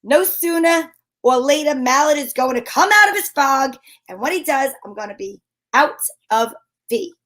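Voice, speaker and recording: Actress as female gumshoe, high-pitched, sassy and wry, old-time radio style. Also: lively intonation, normal speed, a bit bright. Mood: wry, impatient. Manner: brash, slangy, wry. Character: sassy, wisecracking female private eye.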